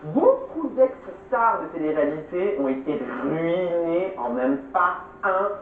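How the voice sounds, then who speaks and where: A man's voice: sits high, expressive, slow pace, slight background noise. A man giving direct, instructive advice to future reality show contestants. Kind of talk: advice to camera. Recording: very echoey, distant, phone-like.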